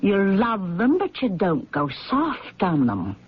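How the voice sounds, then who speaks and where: A woman's voice: mid-range voice, wildly swooping pitch, slow pace, loud music behind the voice. An actress playing a strict, plain-spoken older housekeeper in a radio drama, scolding as she talks. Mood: stern scolding. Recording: muffled vintage radio broadcast.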